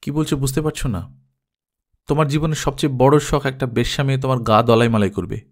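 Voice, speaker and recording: A man's voice: medium pitch, fairly even intonation, fairly quick, natural voice. A man acting a curt, exasperated younger brother. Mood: embarrassed, indignant. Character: irritated younger brother, embarrassed, curt, wanting to be left alone.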